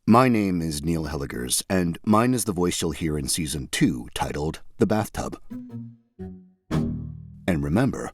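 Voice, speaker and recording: A man reading a podcast outro in a slow, measured, ominous way. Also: low-pitched, very animated, no echo, studio quality. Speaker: podcast host. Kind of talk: scripted outro. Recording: music playing behind.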